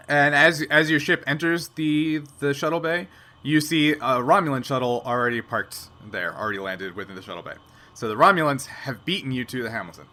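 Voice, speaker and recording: Bright-voiced man running a tabletop game. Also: medium pitch. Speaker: game master. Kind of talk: improvised narration of a tabletop sci-fi game.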